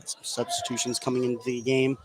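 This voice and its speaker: A man's voice: mid-range voice, monotone, fairly quick. A man doing live radio play-by-play of a basketball game, working as the main announcer. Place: indoor basketball gym. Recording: noisy gym ambience behind.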